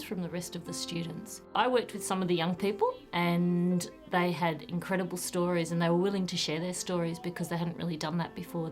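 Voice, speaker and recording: A woman teacher speaking reflectively and a little hesitantly in an interview. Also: fairly low pitch. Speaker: college teacher and program coordinator. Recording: guitar music behind the voice. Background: acoustic guitar music.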